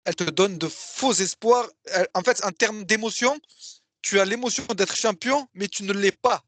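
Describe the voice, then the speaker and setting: High-voiced man asking interview questions. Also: normal speed, a bit bright, dry recording. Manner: agreeable, asks questions and sums up points.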